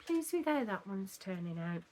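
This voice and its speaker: A woman with a slightly croaky voice, chatting in a rambling way about her cross-stitch progress at home. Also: medium pitch, sing-song, normal speed, a little mellow. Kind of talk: casual chat showing craft progress. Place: at home at a table.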